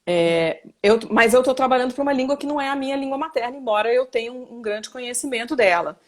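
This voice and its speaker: A woman's voice: a little high, fast talker. A Brazilian woman who works as a simultaneous interpreter and journalist, explaining her work in a casual live interview with examples and self-corrections. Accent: Brazilian Portuguese. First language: Portuguese. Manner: reflective, explains with examples and restarts.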